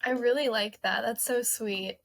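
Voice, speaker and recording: Bright, creaky young woman co-host. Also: a little high, steady tone, unhurried, no room sound. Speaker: podcast co-host. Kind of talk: casual chat.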